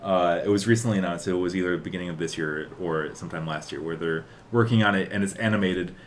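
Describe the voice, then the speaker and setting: A man's voice: sits low, fast talker, a little hiss or hum. A man co-hosting a podcast in casual conversation, thinking aloud in a rambling way. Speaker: podcast co-host. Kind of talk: casual chat about games and films.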